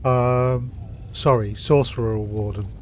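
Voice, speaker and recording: A man from the UK live streaming a game, chatting casually and helpfully with hesitant pauses. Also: mid-range voice, steady tone, a little mellow, slight background noise. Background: game music.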